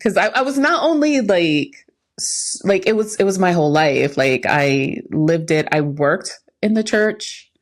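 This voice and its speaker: A woman's voice: fairly low pitch, animated, unhurried. An American woman, a podcast co-host, talking casually in a candid, reflective way about her own experience. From United States, lived in New York when young. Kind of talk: casual chat.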